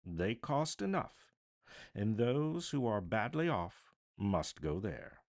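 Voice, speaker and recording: A man's voice: fairly low pitch, very animated, takes it slowly, pitched above the performer's own voice, heavily acted. A man doing a scornful old miser, swooping emphatically. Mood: cold, contemptuous. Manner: curt, contemptuous, emphatic questioning. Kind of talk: storybook character reading.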